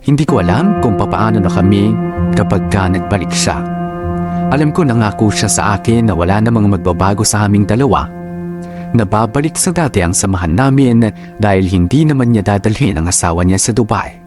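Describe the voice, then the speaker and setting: A man's voice: lowish voice, lively intonation, brisk. A man reading a scripted first-person story as narrator of a radio drama. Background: music.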